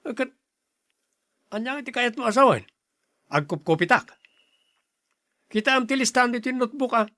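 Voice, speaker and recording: A man's voice: very high-pitched, animated, moderate pace, long pauses, bright, forceful tone, no room sound, full character voice. A man acting an irritable Ilocano older brother. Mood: angry, scolding. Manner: scolding, blunt, complaining. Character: irritable, fault-finding older brother and shopkeeper. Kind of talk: radio-style family drama acting.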